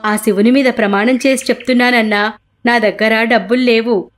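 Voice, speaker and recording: A plaintive poor rickshaw driver, acted out by a woman in a cartoon moral tale, with music behind. The voice is high and beseeching, a devout man worn down by hardship. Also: steady tone, brisk, natural voice. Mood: pleading. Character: anguished, pleading poor male auto-rickshaw driver, devout.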